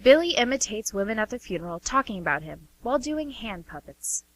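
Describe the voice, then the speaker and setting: A woman's voice: a little high, very animated, a little fast, rough recording. A woman reading scripted stage-setting narration for a radio play.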